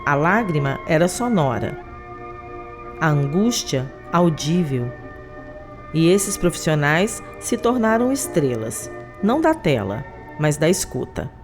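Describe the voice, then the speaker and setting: A woman's voice: low-pitched, big pitch swings, slow pace, over music. A woman with a Brazilian accent, reading scripted documentary narration.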